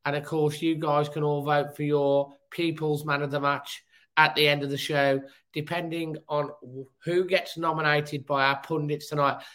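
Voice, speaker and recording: Brief: man, hoarse from a cold, chatty fan-show host, slightly high and flat-toned. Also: normal speed. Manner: chatty, upbeat, fan talk. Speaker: football podcast host. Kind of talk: casual chat.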